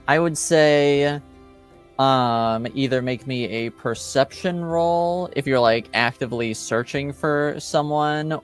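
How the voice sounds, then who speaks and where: A man improvising narration as game master of a tabletop game, halting as he thinks aloud. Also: medium pitch.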